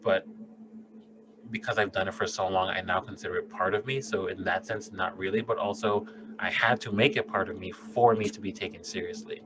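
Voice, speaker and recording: A man's voice: low voice, fairly even intonation, fairly quick, noisy background. A man co-hosting a podcast, talking in a casual, earnest, reflective way and swearing freely. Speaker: podcast co-host, doctor. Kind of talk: casual conversation.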